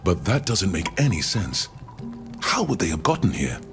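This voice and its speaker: A man playing a courteous, learned foreign scholar in a video game, speaking in measured, formal, precise phrases. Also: low voice, huge pitch swings, music in the background, exaggerated voice. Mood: scholarly interest. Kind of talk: video game dialogue acting.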